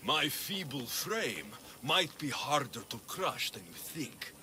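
An actor voicing a blunt, glory-driven mercenary hero with dry, curt replies. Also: male voice, medium pitch, big pitch swings, takes it slowly, sharp tone, full character voice.

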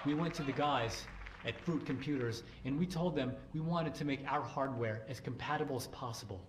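An actor playing a smug, grandiose young tech founder giving a satirical game keynote, pompous, with emphatic pauses between phrases. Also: male voice, mid-range voice, lightly acted. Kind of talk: satirical product launch keynote, game cutscene. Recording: echoey hall with crowd noise.

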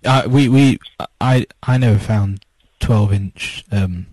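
Low-voiced man, easygoing, chatty storyteller. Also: takes it slowly, husky. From North-East England. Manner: relaxed, chatty, colloquial. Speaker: interviewed record collector. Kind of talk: casual reminiscing chat.

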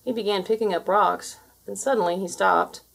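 A woman reading a children's storybook aloud as an expressive, scripted narration. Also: mid-range voice, moderate pace, gravelly, rough recording. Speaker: storybook reader.

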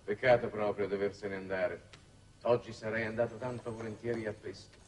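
A man's voice: lowish voice, level tone, normal speed, natural voice. An actor in his forties playing a worldly, wistful foreign minister in a 1960s television drama, urbane and wry in conversation. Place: large reflective television studio set. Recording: roomy, noisy old television soundtrack.

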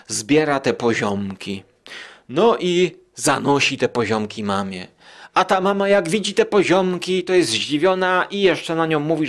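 A man's voice: mid-range voice, fairly bright tone. A man hosting a podcast in a casual, colloquial, rambling and somewhat hesitant way. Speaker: podcast host.

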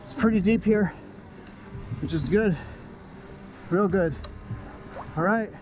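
High-pitched man vlogging outdoors. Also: slow pace, soft background music, low-quality recording. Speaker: fishing channel host. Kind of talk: casual outdoor vlog talk to viewers. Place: outdoors on an open beach and in a kayak at sea.

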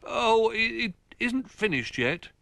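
Little toy bear, young male man acting, earnest, childlike storybook character with high, bright delivery. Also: big pitch swings, slow, vocal fry, dry recording, full character voice. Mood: flustered.

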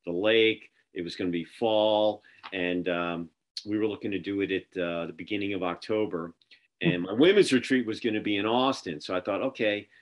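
A man's voice: on the low side, fairly even intonation, moderate pace. A middle-aged man hosting a casual video-call interview, telling stories with many fillers and restarts. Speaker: interview host. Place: office with printed backdrop, indoors. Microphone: video call webcam.

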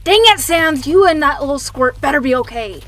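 Brash female monster fighter acted by a woman: high, forceful, impatient, blunt, amateur comic-dub drama. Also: animated, brisk. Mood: urgent, exasperated. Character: brash, exasperated female fish-monster warrior.

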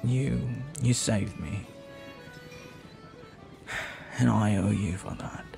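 A man playing a flirty, earnest pirate captain in an intimate audio roleplay, speaking softly and haltingly with tender devotion. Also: lowish voice, vocal fry, faint music behind. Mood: earnest, grateful. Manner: soft, halting, tender, sometimes near whisper.

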